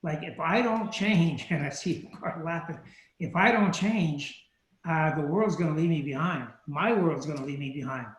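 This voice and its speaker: A man's voice: fairly high pitch, moderate pace, roomy sound. A man in his late sixties on a video call panel, frankly telling a story about himself. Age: 60s.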